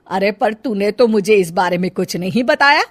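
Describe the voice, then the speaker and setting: A woman's voice: mid-range voice, lively intonation, moderate pace, lightly acted. A woman playing a bossy, suspicious middle-aged mother-in-law in a family story, scolding and firing exclamatory questions. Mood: suspicious, questioning. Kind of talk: dramatized Hindi family storybook acting.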